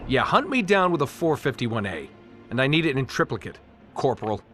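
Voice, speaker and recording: A man's voice: medium pitch, big pitch swings, brisk, music in the background, natural voice. A man playing an unbending, officious military bureaucrat in a game cutscene, pedantic and exasperated. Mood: sarcastic. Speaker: military pay clerk. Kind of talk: video game cutscene acting.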